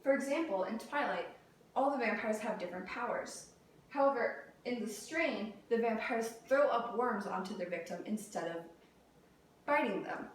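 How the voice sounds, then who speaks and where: A woman's voice: fairly high pitch, lively intonation, moderate pace. A young adult woman delivering a prepared persuasive speech as a student, reading out structured points and sometimes stumbling over words. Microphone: distant camera or room mic. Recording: very echoey room, distant mic, faint noise.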